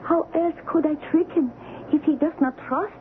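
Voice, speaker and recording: A woman's voice: high voice, steady tone, moderate pace, lightly acted. An actress playing a devoted young woman in love, in 1970s radio drama style. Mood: grave, secretive. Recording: tinny, noisy old radio broadcast.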